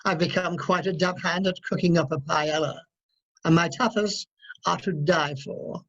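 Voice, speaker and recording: A man's voice: a little high, steady tone, moderate pace, a bit bright. Man acting a gushing British theatre type, dull audio. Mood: gushing, sociable. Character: chatty, gossipy amateur theatre man, fond host. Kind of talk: amateur radio play acting. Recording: dull online call audio.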